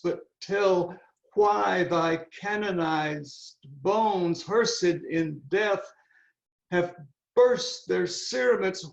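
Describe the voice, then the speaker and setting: In a roomy, slightly muffled recording, a man plays a brooding young prince in a Shakespeare reading. His high voice creaks as he declaims gravely and slowly. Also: exaggerated voice. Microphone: video call. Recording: roomy, slightly dull video-call audio.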